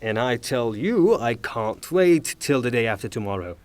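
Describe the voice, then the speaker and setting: An actor playing a blustering, irascible retired officer and landowner in a theatrical audio drama, with formal manners and emphatic, exasperated delivery. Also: male voice, medium pitch, very animated, normal speed, lightly acted. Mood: insistent. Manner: emphatic, formal address breaking into exasperated outbursts.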